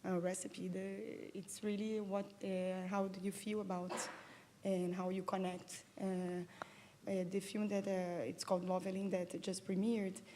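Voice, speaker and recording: A woman co-producer answers in an earnest, halting way. Her voice is mellow and keeps to a flat, narrow pitch, and a faint hiss sits beneath it. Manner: earnest, halting, restarts often. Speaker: film co-producer. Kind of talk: panel discussion answer.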